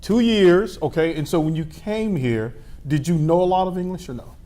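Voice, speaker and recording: A middle-aged man hosting a local TV interview show, asking relaxed, friendly questions and filling pauses with "uh" and "um". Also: fairly high pitch. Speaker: TV show host.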